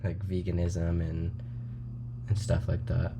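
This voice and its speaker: A man hosting a podcast, talking casually and haltingly with many fillers. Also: deep voice, monotone, gravelly, noisy background. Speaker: podcast host. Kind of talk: casual interview conversation.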